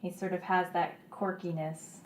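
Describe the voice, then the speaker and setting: A woman's voice: lowish voice, flat delivery, normal speed, reverberant, a little hiss or hum. A woman hosting a radio interview, introducing and questioning her guest. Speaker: interview host. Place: radio studio.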